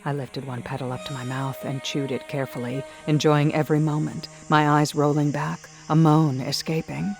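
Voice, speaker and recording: Gravelly woman narrating over music. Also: deep voice, level tone, normal speed, hardly any pauses, darkish tone. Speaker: audiobook-style narrator. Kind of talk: dramatic first-person scripted narration. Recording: music behind the voice.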